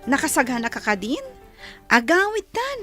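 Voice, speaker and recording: Very high, swooping Ilocano actress as playful friend. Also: female voice, very animated, moderate pace, a bit bright, faint music behind. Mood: teasing. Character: playful, teasing young woman, a best friend. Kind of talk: Ilocano comedy radio drama acting.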